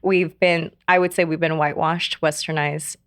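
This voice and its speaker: A woman in her thirties, from South Korea, telling her life story in a casual interview, speaking softly with many fillers, pauses and laughs. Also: low voice, level tone, clear, resonant tone, dry recording, clean studio sound. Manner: soft-spoken, many fillers and trailing pauses, laughs often. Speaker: podcast guest.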